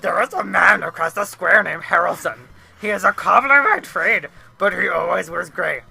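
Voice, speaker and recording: A gruff bear shopkeeper from a storybook, as a reader puts him on. He speaks with formal, old-fashioned grandeur and a forceful, pleased curiosity. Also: medium pitch, normal speed, faint music behind, heavily acted. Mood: pleased, confiding.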